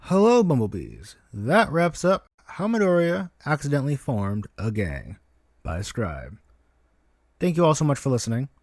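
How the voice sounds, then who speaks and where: A man reading a story aloud as scripted, expressive narration. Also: mid-range voice, moderate pace, dry recording, clean studio sound. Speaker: podfic narrator, guest reader.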